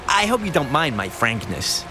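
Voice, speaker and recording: A man's voice: fairly high pitch, very animated, normal speed, music in the background. A man playing a gossipy, condescending townsman in a video game, with an insinuating, theatrical delivery. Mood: smug, scornful.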